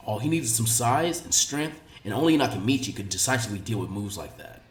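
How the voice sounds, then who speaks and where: A man voicing a calm, authoritative adult male ninja teacher with a measured delivery in a story reading. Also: medium pitch, reverberant, pitched below the performer's own voice, lightly acted. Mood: appraising.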